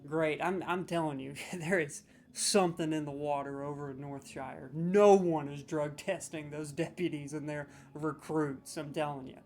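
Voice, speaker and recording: A man's voice: a little high, steady tone, normal speed, hardly any pauses, darkish tone. A man hosting a comedic video, ranting sarcastically with mock outrage as he scores game voice lines.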